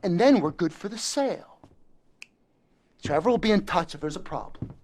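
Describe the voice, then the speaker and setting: A man's voice: high-pitched, expressive, normal speed, a little mellow. An actor voicing a jittery, rambling male drug-dealer accomplice who wisecracks nervously in a game cutscene. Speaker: nervous accomplice in a crime crew.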